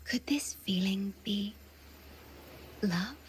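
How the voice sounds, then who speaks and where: A woman voicing a gentle, devoted, self-sacrificing guardian in a video game, speaking softly and solemnly with a breathy delivery. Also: medium pitch, very slow, slight background noise. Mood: tender, sad. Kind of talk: scripted video game cutscene acting.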